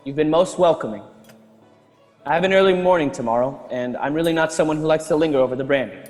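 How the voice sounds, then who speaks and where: Man acting a courteous, higher-voiced young gentleman. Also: natural voice. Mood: composed, gracious. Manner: polite, measured, formal. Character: courteous, idealistic, composed young gentleman back from Europe. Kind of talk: radio drama acting. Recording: long hall reverb, music underneath.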